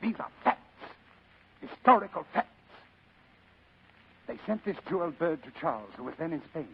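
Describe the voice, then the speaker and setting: An actor playing a genteel, scheming fat crime boss in old radio drama style, pompous, florid and ornately courteous, with a husky voice. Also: male voice, fairly high pitch, sing-song, normal speed, a little hiss or hum. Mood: grand, savoring. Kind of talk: 1940s-style radio acting. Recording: muffled old radio recording.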